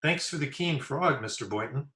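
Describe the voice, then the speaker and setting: Folksy teen boy, radio comedy, adult male actor: slangy, keen, piercingly bright, echoey remote recording. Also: mid-range voice, expressive, moderate pace, close to an everyday voice. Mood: eager. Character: eager, folksy, simple teenage schoolboy. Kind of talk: old-time radio comedy acting.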